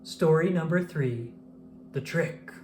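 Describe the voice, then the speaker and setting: A narrator reading a children's picture book aloud in an expressive, measured storytelling style. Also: mid-range voice, slight background noise.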